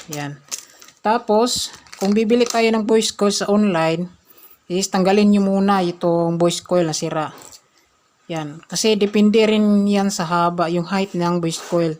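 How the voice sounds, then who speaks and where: A woman presenting an informal hands-on repair tutorial, halting and punctuating steps with short filler phrases. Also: mid-range voice, a little mellow, a little hiss or hum.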